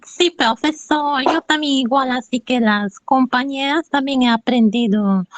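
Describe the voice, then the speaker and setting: A woman's voice: fairly high pitch, no echo. A woman student, answering her professor earnestly in an online class and leaning on fillers. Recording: dry dull lo-fi mic.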